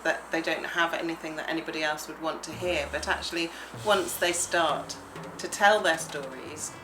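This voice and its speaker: Woman coordinator, low voice, earnest, roomy room, music bed. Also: steady tone, moderate pace, background noise. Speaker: project coordinator. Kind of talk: documentary interview.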